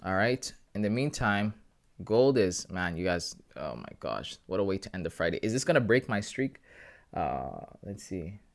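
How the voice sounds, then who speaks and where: A man's voice: sits low, big pitch swings, normal speed, vocal fry. A man in his thirties chatting casually with viewers on a live trading stream, slangy and laughing often. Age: 30s. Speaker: livestreaming forex trader.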